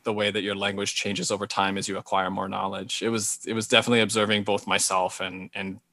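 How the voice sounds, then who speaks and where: Guy from hawaii on a video call, low voice, dry sound, careful and reflective, lots of restarts. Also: completely flat delivery, fast talker, sharp tone, dry recording. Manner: thoughtful, careful, frequent restarts. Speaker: guest author. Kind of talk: reflective interview answers. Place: small indoor room. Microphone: video call with earbuds.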